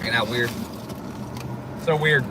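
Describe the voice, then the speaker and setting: A man's voice: mid-range voice, takes it slowly. A man in his early thirties chatting casually on a live stream, joking and laughing often as he reads comments. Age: 30s. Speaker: co-host reading live chat. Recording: outdoor live stream, noisy, mic at a distance.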